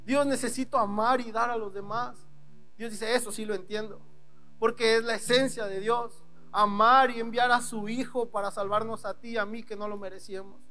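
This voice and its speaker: A man with a Mexican Spanish accent preaches a sermon. His voice sits very high for a man. He keeps the tone conversational and acts out prayers and God's answers, with faint music underneath. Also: fairly even intonation, moderate pace, pushed and bright. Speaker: pastor.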